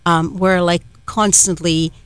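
A woman's voice: lowish voice, steady tone, slow pace, smooth, dry recording. Chatty Trinidadian woman radio host. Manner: chatty, warm storytelling with many fillers and restarts. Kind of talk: casual chat.

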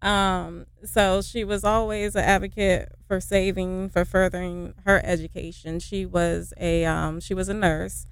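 A woman's voice: on the low side, little pitch movement, unhurried, gravelly, few pauses, smooth, dry recording. A woman who founded a nonprofit, speaking as a radio guest in a hesitant, earnest way with many fillers. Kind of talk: casual radio interview.

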